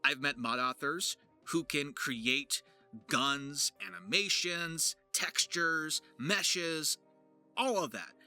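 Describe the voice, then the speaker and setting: A man narrating an explanatory vlog as a creator and voice actor, with emphatic, deliberate phrasing and dramatic stresses. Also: fairly high pitch, bright, forceful tone. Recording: music behind the voice. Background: music.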